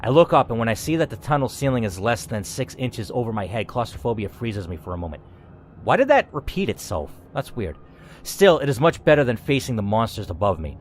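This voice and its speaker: A man's voice: mid-range voice, fairly quick, faint music behind. A man giving a dramatic, theatrical reading of a scripted story, as a gaming host narrating aloud. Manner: theatrical, expressive reading with asides.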